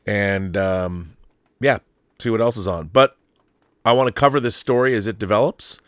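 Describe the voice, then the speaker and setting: A middle-aged man hosting a casual livestream, talking emphatically and haltingly, often repeating words and rephrasing as he thinks aloud. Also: on the low side, fairly bright tone, smooth, no echo, clean studio sound. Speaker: livestream host. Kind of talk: casual live chat answering viewer messages.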